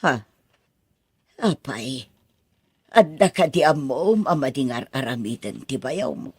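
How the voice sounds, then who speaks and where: A woman's voice: very deep voice, lively intonation, takes it slowly, darkish tone, breathy edge, no room sound, heavily acted. An actress playing a kindly, talkative elderly mother with an Ilocano accent in a radio drama. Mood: puzzled. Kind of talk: Filipino radio drama acting.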